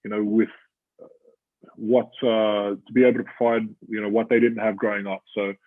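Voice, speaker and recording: A thirty-year-old Australian man, a rugby player, talking as an interview guest in a halting way with plenty of fillers. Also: fairly low pitch, level tone. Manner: halting, filler-heavy storytelling. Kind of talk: casual interview chat. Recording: tinny phone-like line.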